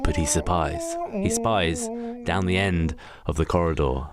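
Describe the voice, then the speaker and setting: Deep, animated male comic narrator. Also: unhurried, a loud crowd. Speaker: podcast narrator. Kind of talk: improvised comic storytelling for a radio play.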